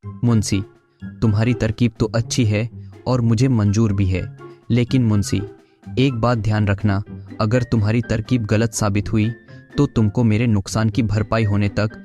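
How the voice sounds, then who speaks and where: A man's voice: on the low side, flat delivery, moderate pace, mellow, lightly acted. A man voicing a stingy, shrewd rich village boss in a cartoon, with a condescending manner. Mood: bargaining. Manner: condescending, sarcastic. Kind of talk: Hindi cartoon voice acting. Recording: music behind the voice.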